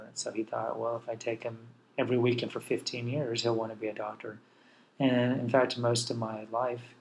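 A man's voice: mid-range voice, slight background noise, rough recording. A man in his forties, a podcast host, candidly telling his own life story in a wry, self-deprecating way. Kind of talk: personal storytelling monologue.

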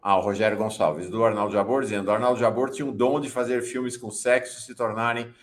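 A man's voice: on the low side, fairly even intonation, fairly quick. A middle-aged or older man, hosting and giving blunt, emphatic live political commentary. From São Paulo, Brazil. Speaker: news show host and commentator.

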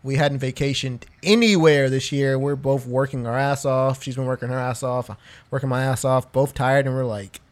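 A man in a casual chat among friends, relaxed and profane, saying "dude" often and stumbling over restarts. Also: mid-range voice, normal speed. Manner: laid-back, swears a lot, says "dude" constantly; stammers and restarts phrases. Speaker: podcast co-host.

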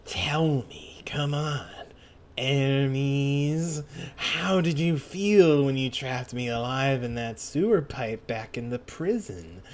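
A man voicing a vengeful undead gangster ghost, bitter and taunting in drawn-out questions. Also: mid-range voice, lively intonation.

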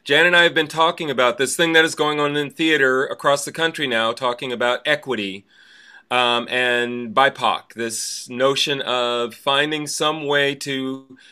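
A man's voice: mid-range voice, fairly even intonation, unhurried, bright, forceful tone, smooth. A man hosting a podcast interview, speaking earnestly and discursively with careful phrasing.

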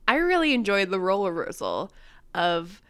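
A woman's voice: mid-range voice, big pitch swings, normal speed, fairly bright tone, clean tone, no echo. A young woman co-hosting a podcast, agreeing easily and often dropping into a near-whisper. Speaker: podcast co-host. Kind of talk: casual chat recapping a sitcom episode.

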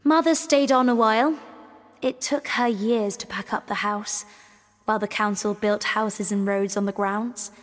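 A woman playing the wistful ghost of a young girl, narrating gently and reflectively in an audio drama. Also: medium pitch, steady tone, moderate pace, lightly acted. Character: wistful ghost of a young girl lingering in her old house. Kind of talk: scripted audio drama monologue. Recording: long cavernous reverb.

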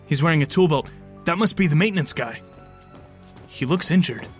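A man's voice: a little high, lively intonation, brisk, soft background music, natural voice. A man playing an anxious, exasperated hotel guest in an audio drama, earnest and scolding. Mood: concerned.

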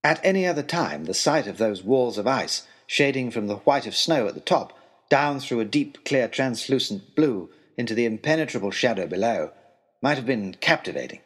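A man playing a florid, anxious young gentleman adventurer, telling his tale in a wordy, mannered way with wry understatement in a comic audio drama. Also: medium pitch, lively intonation, moderate pace, slightly rough. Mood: tense, dramatic. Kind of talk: first-person comic adventure storytelling, letter-like period narration.

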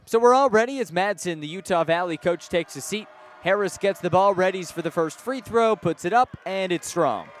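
A man's voice: high-pitched, moderate pace, slight background noise. An American man doing live radio basketball play-by-play with vivid, folksy, descriptive phrasing. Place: courtside in a sports arena.

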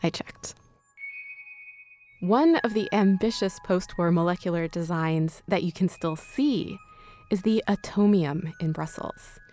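A woman's voice: lowish voice, unhurried, warm tone. Woman narrating a documentary over music. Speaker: podcast host and narrator.

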